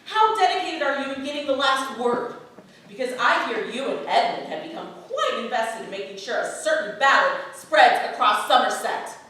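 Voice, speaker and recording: A woman's voice: sits high, very animated, moderate pace, a bit bright. An actress playing an earnest, hurt and indignant townswoman in a staged reading of an English period play. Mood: pointed. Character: hurt, indignant Puritan-leaning townswoman in 1607 England. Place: indoor playhouse before an audience. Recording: very echoey theater, distant noisy mic.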